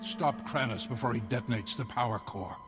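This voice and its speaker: An actor playing a frightened, tortured scientist in a video game, earnest and grave. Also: male voice, mid-range voice, brisk, natural voice. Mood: shaken, urgent. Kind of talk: dramatic video game voice acting. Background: music behind the voice.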